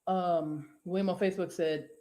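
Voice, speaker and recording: A woman's voice: fairly low pitch, steady tone, moderate pace, dark tone, reverberant, soft background music. A woman co-hosting a casual live show, reading out viewer comments in a rambling, profane way and laughing easily. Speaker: co-host.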